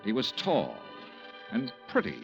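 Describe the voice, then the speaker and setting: An actor playing a brisk, persistent insurance investigator in a 1950s radio detective drama, clipped and matter-of-fact. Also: male voice, fairly low pitch, huge pitch swings, creaky, over music, exaggerated voice. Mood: dry, matter-of-fact. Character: brisk, hard-boiled male insurance investigator narrating his case.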